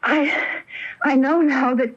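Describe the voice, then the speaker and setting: An earnest young stage hopeful in an old radio drama. Her voice sits a little high and is full of tender, nervous feeling. The sound is dull, like an AM broadcast. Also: fairly even intonation, slow pace. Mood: awed, nervous. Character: excitable, tender young actress haunted by a ghost. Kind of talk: 1980 radio drama acting. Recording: dull AM radio airing from 1980.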